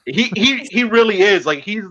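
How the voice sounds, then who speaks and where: A man hosting a podcast in casual chat, talking in a halting, rambling way. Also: sits high, lively intonation, a bit bright, muffled recording. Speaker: podcast host.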